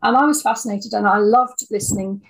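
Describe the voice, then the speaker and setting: A woman's voice: mid-range voice, a little fast, gravelly, a little hiss or hum, low-quality recording. A woman in her sixties, an interview guest telling her own story in a reflective, conversational way. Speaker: audio drama writer and producer, interview guest.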